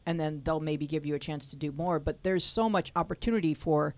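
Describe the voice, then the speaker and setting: A woman's voice: low-pitched, fairly quick. A woman hosting an interview, encouraging the young guests and prompting their questions. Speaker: podcast host.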